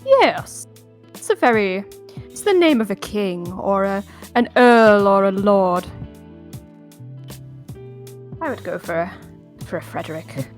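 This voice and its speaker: A woman's voice: medium pitch, very animated, a little slow, soft background music. A woman voicing a prim, pompous upper-class lady from the 1800s, co-hosting a livestream. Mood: haughty. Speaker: charity speedrun marathon co-host.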